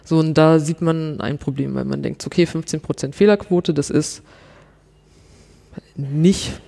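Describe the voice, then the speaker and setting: A man speaks in a relaxed, chatty way, filling his sentences with little hedging words. His voice sits a bit high for a man but sounds mellow and warm. He comes across as a wry journalist explaining his work. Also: unhurried, gravelly. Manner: chatty, many fillers like irgendwie and so, wry. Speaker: investigative journalist guest. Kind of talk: casual conversational interview.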